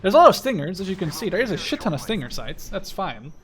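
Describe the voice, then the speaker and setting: A man's voice: high-pitched, big pitch swings, fast talker, a bit bright. A man in his twenties giving casual, rambling, enthusiastic gameplay commentary with a lot of swearing. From Canada-based Dutch. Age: 20s. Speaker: gaming video host. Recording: clear background game noise. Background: war strategy game sounds.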